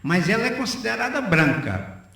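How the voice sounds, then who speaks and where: A man's voice: sits high, big pitch swings, normal speed, vocal fry, sharp tone. An older man, giving his opinions in conversational radio talk and repeating phrases for emphasis. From Northeast Brazil. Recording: very echoey room.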